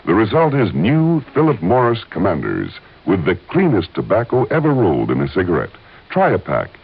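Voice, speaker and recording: A man's voice: deep voice, huge pitch swings, moderate pace, husky, phone-quality sound. An American man reading a 1950s radio advertisement as an announcer, with an emphatic, polished sales delivery. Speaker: commercial announcer. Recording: thin, band-limited old radio recording.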